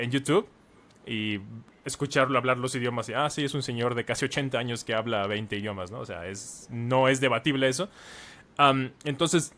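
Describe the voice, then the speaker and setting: Man, 30s, podcast guest, mid pitch, earnest, explains with examples. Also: a bit bright. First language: Spanish. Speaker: guest, language teacher and author. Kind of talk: casual podcast conversation.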